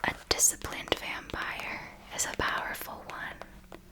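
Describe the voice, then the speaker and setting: A woman's voice: sharp tone, poor audio. A woman voicing an elegant, possessive vampire mentor in an ASMR roleplay, whispering breathily and formally, close to the microphone. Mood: calm, stern. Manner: slow, deliberate, formal, intimate. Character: elegant female vampire mentor, possessive, fond, calmly commanding.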